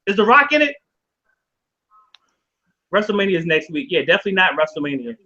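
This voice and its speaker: A man hosting a casual live video chat, rambling, laughing often and getting excited. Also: high voice, fairly quick. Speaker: show host. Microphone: video call. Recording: dull lo-fi video call audio.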